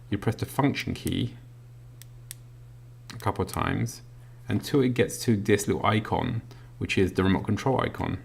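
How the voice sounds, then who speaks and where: A man's voice: on the low side, gravelly, slight background noise. A man walking through an unboxing, explaining a gadget casually with many ums and uhs while he handles it. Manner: demonstrating a gadget while talking. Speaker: product reviewer. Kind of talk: unboxing walkthrough.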